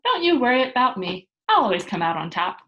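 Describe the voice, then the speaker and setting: A woman's voice: on the high side, sing-song, a little fast, sharp tone, highs cut off, slight background noise. A woman voicing a cheerful, confident nine-year-old girl from a storybook, proud and carefree. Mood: reassuring, confident.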